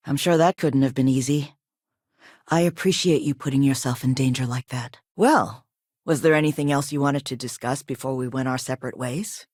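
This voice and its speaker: A woman's voice: a bass voice, a little mellow, no echo. Composed businesswoman, dry close recording. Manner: polite, measured, formal and businesslike. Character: composed, businesslike woman running a firearms company. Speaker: video game character. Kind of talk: video game dialogue acting.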